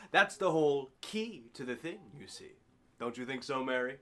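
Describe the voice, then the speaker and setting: A man's voice: mid-range voice, expressive, normal speed, sharp tone. A man playing a macabre, genteel and sardonic host of a 1940s radio horror show. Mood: darkly amused. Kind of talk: 1940s radio announcer acting.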